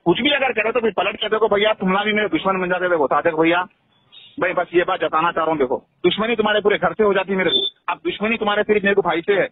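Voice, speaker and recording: A man's voice: sits high, fairly even intonation, fast talker, no room sound. A man with a Hyderabadi accent in a heated, insistent phone conversation, swearing and repeating himself. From Hyderabad, India. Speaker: caller. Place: telephone call, played in a news upload. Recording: tinny phone call recording.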